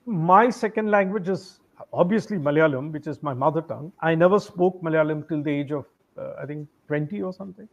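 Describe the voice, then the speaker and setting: A man speaks as a guest trainer in a slightly high register. He talks in measured steps, often pausing on "uh". The audio is dull and lo-fi. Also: vocal fry. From India. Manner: measured, with frequent "uh" pauses. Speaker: English trainer, interview guest. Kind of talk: advice-giving interview talk.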